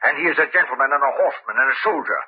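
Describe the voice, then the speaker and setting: A man's voice: medium pitch, lively intonation, rapid-fire, a little hiss or hum, lightly acted. Actor as blustering stern father, old radio. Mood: commanding. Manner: emphatic, commanding, blustering. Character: stern, domineering middle-aged father, wealthy and snobbish. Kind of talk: old-time radio drama acting. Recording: dull, raspy old radio recording.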